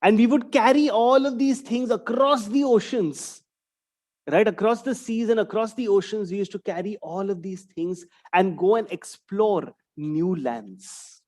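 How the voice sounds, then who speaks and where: A man's voice: high-pitched, unhurried, darkish tone. A young man, giving an online talk to young schoolchildren over a video call and ending many sentences with "right?". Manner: tags sentences with a questioning "right?". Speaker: guest speaker for schoolchildren.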